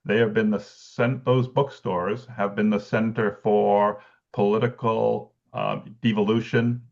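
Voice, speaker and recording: A male researcher speaking thoughtfully on a video call, pausing and restarting often with light laughs. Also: lowish voice, level tone, creaky, darkish tone. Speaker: bookselling researcher guest. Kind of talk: conversational explanation. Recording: dull lo-fi call audio.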